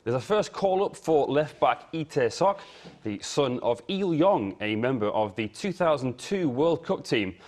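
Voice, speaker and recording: A man working as a sports editor, delivering a broadcast sports report in a studio. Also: mid-range voice. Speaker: sports editor presenting sports news. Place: TV news studio.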